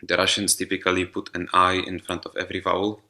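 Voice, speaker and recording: Deep gravelly man with a Czech accent. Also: monotone, fast, sharp tone. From Czech Republic. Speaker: video creator. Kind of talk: video monologue.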